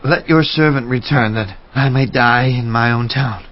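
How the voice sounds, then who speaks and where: An actor playing a humble, weary man of eighty in a dramatized audio Bible, pleading gently. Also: medium pitch, normal speed, highs cut off, close to an everyday voice.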